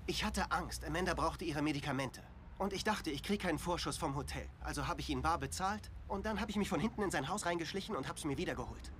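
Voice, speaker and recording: A man's voice: a little high, fast, a bit bright, slight background noise, natural voice. An actor playing a distressed, bitter young man, blunt and exasperated, in a dubbed TV drama. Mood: weary, guilty. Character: distressed, broke, bitter young man dragged into murder cases.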